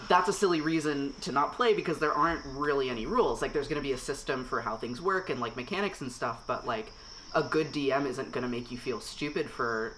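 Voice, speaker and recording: A woman's voice: extremely low pitch, fairly quick, a bit bright, a little hiss or hum, low-quality recording. Reflective woman chatting casually. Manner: reflective, lots of "like" fillers. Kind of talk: casual chat.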